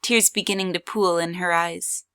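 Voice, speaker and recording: A woman's voice: fairly low pitch, fairly even intonation, moderate pace, pushed and bright, clear, resonant tone. A woman reading scripted third-person story narration as the narrator of an audio drama.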